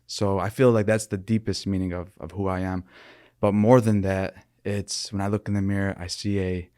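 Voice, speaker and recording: Podcast guest: young man, deep monotone voice, thoughtful and earnest, dry close sound. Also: clear, resonant tone, no room sound. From Michigan, USA. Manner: earnest, thoughtful, with many restarts and fillers. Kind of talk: reflective interview talk.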